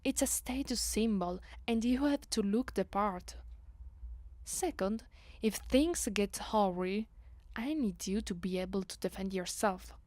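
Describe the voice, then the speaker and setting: Casting: woman as seductive aristocratic female vampire, Italian accent, unhurried commanding delivery, close dry ASMR sound. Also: medium pitch, a little mellow, no room sound, lightly acted. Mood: instructive, imperious. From Italy. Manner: imperious, playful, unhurried, breathing into whispers. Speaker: voice actress. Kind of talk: ASMR roleplay.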